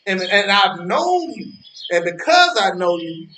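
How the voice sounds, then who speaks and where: An actor playing an indignant, preachy old man in a stage play, halting and repeating words as he moralizes. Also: high-pitched, very animated, sharp tone, heavily acted. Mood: defensive. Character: preachy, indignant old man, lonely park-bench regular. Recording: echoey, distant-sounding, noisy ambience with birdsong.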